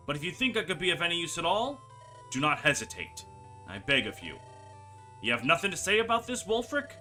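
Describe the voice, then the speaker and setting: An earnest companion from a fantasy game voiced by a man reading aloud. The delivery is dramatic, with a wide-ranging, very bright tone. Soft music sits underneath. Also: a little high, heavily acted. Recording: music behind the voice.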